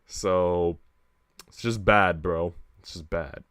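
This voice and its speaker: A man with a fairly low, resonant voice chats on his livestream in a very dry recording. He rambles casually, leaning on slang and swearing freely as he goes. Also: steady tone, slow pace, fairly bright tone, clear, resonant tone, no room sound. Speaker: streamer reading a visual novel aloud.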